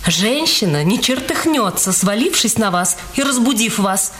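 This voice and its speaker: An actress playing a wary, inwardly resentful witness in a radio detective play, with deliberate, expressive phrasing. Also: female voice, on the high side, soft background music. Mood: bitter, inward. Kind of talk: dramatised radio reading, dialogue mixed with narration.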